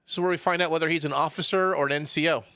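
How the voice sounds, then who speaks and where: A man streaming a visual novel, reading the text aloud with goofy, profane, expressive asides over music. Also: a little high, rapid-fire, clear, resonant tone, no echo, studio quality.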